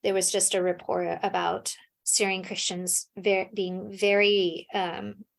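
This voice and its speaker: A woman's voice: medium pitch, little pitch movement, takes it slowly, vocal fry. A woman from the United States, a scholar guest in an interview, explaining thoughtfully with frequent fillers and restarts. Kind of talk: casual interview answers.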